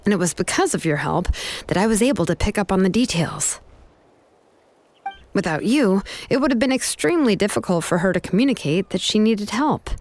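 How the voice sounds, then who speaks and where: A woman playing a capable, composed young female protector in a video game, calm, easygoing and matter-of-fact. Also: mid-range voice, fairly quick, a little hiss or hum, natural voice. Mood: reflective, appreciative. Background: music.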